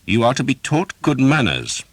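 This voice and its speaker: A man's voice: medium pitch, wildly swooping pitch, moderate pace, no echo, pitched above the performer's own voice. A man voicing a cruel, arrogant knight who gives cold, contemptuous orders.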